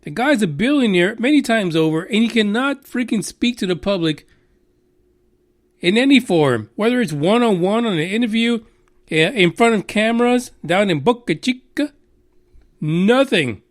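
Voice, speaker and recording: A man's voice: high-pitched, animated, normal speed, no echo, studio quality. A man hosting a podcast, ranting casually with lots of swearing and rambling asides. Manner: exasperated, profane, rambling. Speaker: podcast host. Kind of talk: casual solo podcast rant.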